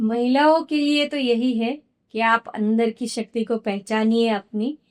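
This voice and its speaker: A woman speaks slowly and earnestly in a podcast studio, her voice slightly hoarse. Her voice sits high, and she pauses with small fillers between thoughts. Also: fairly even intonation. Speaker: guest, founder of a women's platform. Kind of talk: podcast interview conversation.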